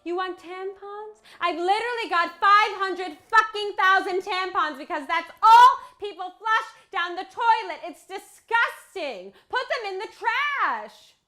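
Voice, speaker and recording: A young cartoon-style mermaid, performed by a woman with a very high, bright voice. She is singsong or crudely blunt, in a musical comedy parody with music behind her. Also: animated, measured pace, hardly any pauses, exaggerated voice. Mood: disgusted. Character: sweet young mermaid turned crude, disgusted sea-floor hoarder. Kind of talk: sung musical parody sliding into ranting.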